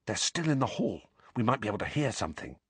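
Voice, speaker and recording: A man's voice: low voice, very animated, slow pace, dry recording, full character voice. A man reads a teenage boy in a storybook. He is irritable and dismissive, with an offhand, grumbling way of talking. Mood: urgent hush.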